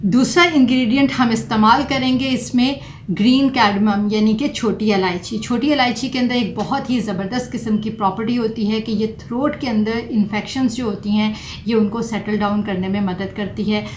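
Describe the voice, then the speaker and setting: A middle-aged woman presents health advice in an explanatory way, dropping in English terms. Her voice sits slightly high. It is recorded in an echoey furnished room with faint noise behind her. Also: fairly even intonation, normal speed. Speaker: doctor presenting home remedies. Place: small furnished room indoors. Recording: roomy echo, faint background noise.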